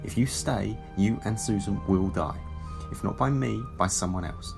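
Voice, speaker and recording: Man playing a stern, gravelly Time Lord soldier. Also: lowish voice, normal speed, over music. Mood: insistent, grave. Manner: insistent, pressing, formal. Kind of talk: audio drama acting.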